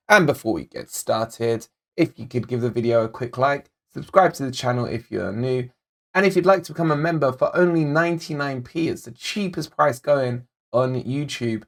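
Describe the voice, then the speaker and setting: A man hosting a football fan channel, with a slightly croaky voice and a mid-range pitch. He talks chattily and directly, calling his viewers guys as he goes through the match. He speaks into a desk microphone. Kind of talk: casual match analysis to camera.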